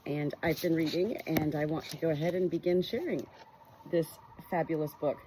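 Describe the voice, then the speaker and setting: A woman's voice: sits low, fairly even intonation, moderate pace, background noise. Earnest woman reading a faith book aloud. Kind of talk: reading a nonfiction book aloud with personal reflection.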